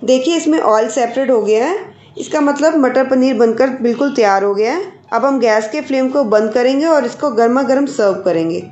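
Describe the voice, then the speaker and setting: A woman hosting a cooking channel, calmly explaining each step of a recipe to her viewers. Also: on the high side, moderate pace, roomy sound, muffled recording. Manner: calm, explanatory, addresses viewers directly. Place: home kitchen.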